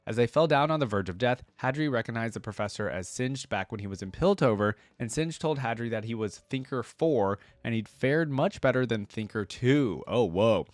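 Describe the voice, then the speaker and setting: A man's voice: medium pitch, expressive, moderate pace, few pauses, dry recording. A man hosting a reaction video, reading game lore aloud and commenting on it in an informal, slangy way. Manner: informal, slangy asides between read passages.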